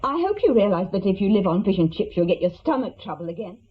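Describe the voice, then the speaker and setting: A woman's voice: mid-range voice, sing-song, fast talker, slight background noise, natural voice. A woman playing a practical, reproachful middle-aged estranged wife in a radio play, speaking tersely and pointedly. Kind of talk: naturalistic radio drama acting. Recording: dull, lo-fi radio drama recording.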